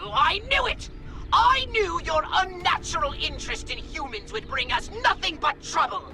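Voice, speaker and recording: An irritable old alien mentor from a video game. The voice sits very high and swoops around with formal, pedantic exasperation. Music plays clearly behind it. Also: male voice, animated, moderate pace, full character voice, low-quality recording. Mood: exasperated, scolding. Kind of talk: video game cutscene acting.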